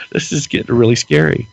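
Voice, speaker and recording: A man's voice: fairly high pitch, vocal fry, fairly bright tone, dry recording, poor audio. A man co-hosting a casual political podcast, ranting with lots of repeated words while losing his voice and stuffy-nosed. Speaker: podcast co-host.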